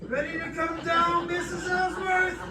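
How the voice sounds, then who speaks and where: A roomy, distant recording of a man acting a tough gumshoe cop. He calls out slowly in a very high, sardonic, commanding voice for a radio crime drama. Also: completely flat delivery. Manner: calling out loudly, deliberate. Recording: roomy distant stage recording, faint noise.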